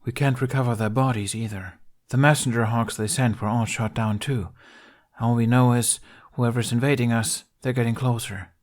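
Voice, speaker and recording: A man's voice: mid-range voice, fairly even intonation, normal speed, a little mellow, lightly acted. A man voicing a tough, exasperated young warrior woman in a fantasy audio story, blunt and teasing. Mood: grave.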